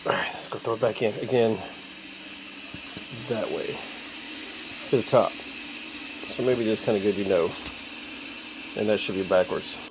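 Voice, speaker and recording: A man's voice: lowish voice, measured pace, long pauses, background noise. A man hosting a casual hands-on repair walkthrough in a workshop, chatty and thinking aloud as he goes, with some playful repetition of words. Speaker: DIY video host. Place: workshop or garage, cold day.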